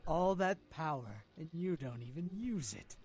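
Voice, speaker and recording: An actor playing a creepy, fawning blood bank clerk in a video game, insinuating and preachy, obsessed with the powerful. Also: male voice, fairly high pitch, animated, unhurried, a crowd in the background. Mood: scornful, insinuating. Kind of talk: video game character acting.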